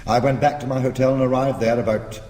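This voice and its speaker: Echoey, defiant British crook, played by an actor. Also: male voice, medium pitch, fairly even intonation, fast talker, darkish tone, natural voice. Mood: defiant, arguing. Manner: insistent, sardonic. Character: defiant, quick-witted male criminal defending himself. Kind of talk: British radio drama acting. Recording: echoey, reverberant church-like space.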